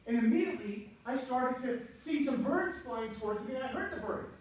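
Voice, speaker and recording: A man in his sixties giving an animated, humorous speech to an audience from a stage, now and then breaking into bits of song. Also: very high-pitched, a little fast. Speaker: contest speaker. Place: auditorium stage before an audience. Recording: echoey hall from far off, faint hiss.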